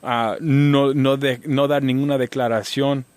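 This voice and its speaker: A man with a mid-pitched voice answers interview questions as a legal guest, stopping and restarting his sentences often. The sound is dry and close, with no room around it. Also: flat delivery, a little mellow, dry recording. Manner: halting, many restarts and fillers. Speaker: immigration lawyer guest.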